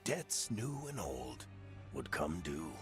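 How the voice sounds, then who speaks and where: An actor playing a solemn, ominous storyteller, narrating a video game in a deliberate, portentous way. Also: male voice, very deep voice, sing-song, over music, exaggerated voice. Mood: ominous, grave. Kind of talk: scripted dramatic game narration.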